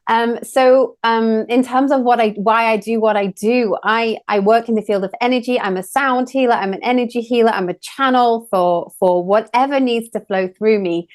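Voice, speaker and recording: A woman's voice: on the high side, moderate pace, few pauses. A woman in her forties with an English accent, talking earnestly and personally as a show's co-host. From England. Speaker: co-host, energy and sound healer. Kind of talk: personal, conversational talk.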